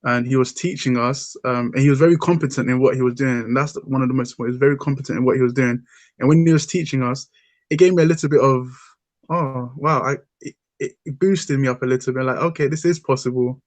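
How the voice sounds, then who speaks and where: Host: man, even-toned, thoughtful, lots of ums, dry close sound. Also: medium pitch, quick pace, dry recording. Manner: thoughtful, hesitant with many ums. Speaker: podcast host. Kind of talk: casual discussion.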